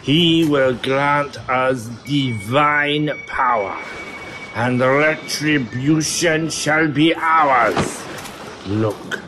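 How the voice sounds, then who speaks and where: A man playing a pompous, theatrical vampire master leading a dark ritual in an audio drama. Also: medium pitch, background noise. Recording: music under the voice.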